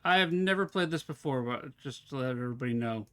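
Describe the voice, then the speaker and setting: A man's voice: mid-range voice, lively intonation, quick pace, a bit bright, clean tone. Chatty male streamer thinking aloud. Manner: chatty, thinks aloud while solving puzzles. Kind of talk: casual live gameplay commentary.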